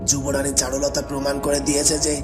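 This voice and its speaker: A man's voice: medium pitch, completely flat delivery, fast talker, music in the background, close to an everyday voice. A man playing a noble young warrior in a cartoon folk tale, speaking formally and earnestly. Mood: earnest, proud.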